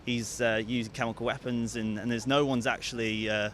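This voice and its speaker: A man with a mid-pitched voice gives a halting opinion in a street interview. He repeats words as he searches for his point, with faint outdoor city noise behind. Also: little pitch movement, a bit bright. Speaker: member of the public interviewed.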